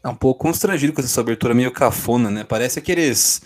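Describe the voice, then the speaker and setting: Brazilian dude on a podcast, talks fast, husky voice, sarcastic teasing. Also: male voice, mid-range voice. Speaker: podcast commentator. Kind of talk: casual banter.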